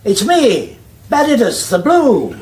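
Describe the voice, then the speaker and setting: An actor playing a chummy, fussy old wizard and loyal friend in a comic fantasy radio drama, genial and fretful. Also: male voice, high voice, wildly swooping pitch, takes it slowly, background noise, full character voice. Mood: chummy, chatty.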